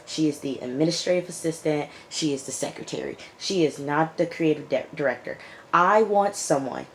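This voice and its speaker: A woman with a raspy, hoarse voice from recent illness, reviewing a TV episode in a casual vlog and repeating her points for emphasis. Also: sits low, slight background noise, poor audio. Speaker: YouTube TV reviewer.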